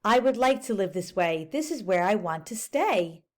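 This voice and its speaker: A woman's voice: medium pitch, expressive, normal speed, natural voice. A woman voicing a boastful, eager spotted male creature in a rhyming storybook, with a bouncy delivery. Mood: pleading.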